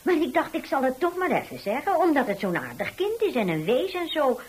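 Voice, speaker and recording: An actress playing a chatty, persuasive, well-meaning busybody neighbour in a radio drama, with a gossipy way of talking. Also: female voice, sits high, big pitch swings, fairly quick. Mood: accommodating, persuasive. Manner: gossipy, repeats who said what.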